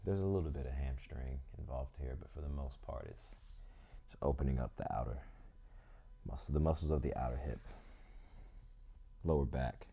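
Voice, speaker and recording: Male yoga teacher, croaky, very deep and mellow, reflective and unhurried. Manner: slow, reflective, thinks aloud. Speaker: yoga instructor. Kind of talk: calm guided yoga instruction with musing asides.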